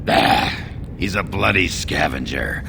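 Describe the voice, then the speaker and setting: A scornful dwarf warrior from a fantasy game. His voice is extremely deep and gravelly, with a slow, contemptuous delivery. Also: expressive, noisy background, full character voice. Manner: gruff, contemptuous. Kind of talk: video game voice acting.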